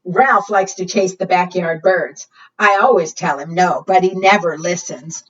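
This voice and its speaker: A woman's voice: on the low side, unhurried, a bit bright. A woman hosting a preschool storytime, reading aloud in a sing-song way and putting questions to her young listeners. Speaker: children's librarian and storytime host. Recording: dull, lo-fi sound, distant mic.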